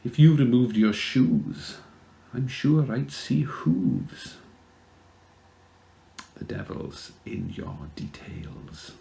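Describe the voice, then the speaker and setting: Welsh male poet, theatrical reciter, extremely slow pace with creaky fry. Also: on the low side, very animated, warm tone, a little hiss or hum. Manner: deliberate, theatrical. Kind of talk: dramatic poetry recital.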